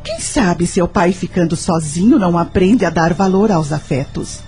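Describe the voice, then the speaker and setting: An actress playing a sympathetic, curious female friend in a radio drama, gentle and thoughtful. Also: on the low side, lively intonation, normal speed, faint music behind, natural voice. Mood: thoughtful, advising. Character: Lúcia, sympathetic, curious woman friend and confidante.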